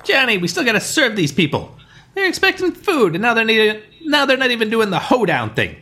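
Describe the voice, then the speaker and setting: Gruff blue-collar caterer for radio-drama parody, impatient, sharp and forceful, wildly animated male actor. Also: very high-pitched, fairly quick, exaggerated voice. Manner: uneducated colloquial grammar. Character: gruff, impatient working-class catering worker.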